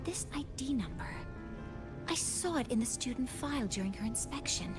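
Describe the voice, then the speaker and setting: A woman's voice: on the high side, lively intonation, normal speed, natural voice. A young woman playing an earnest professor in scripted game dialogue, speaking formally and precisely, devoted to her mentor. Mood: composed, explanatory. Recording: music behind the voice.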